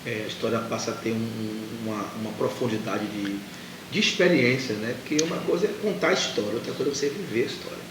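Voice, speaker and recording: A man's voice: mid-range voice, fast talker. A man chatting casually as an interviewer, echoing what guests say. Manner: echoes guests' words, checks with tags. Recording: echoey, distant mic, clear background noise.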